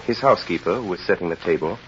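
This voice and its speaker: A man narrating a 1930s radio drama in a grave, measured storytelling manner. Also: deep voice, a bit bright, a little hiss or hum. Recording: muffled old radio recording.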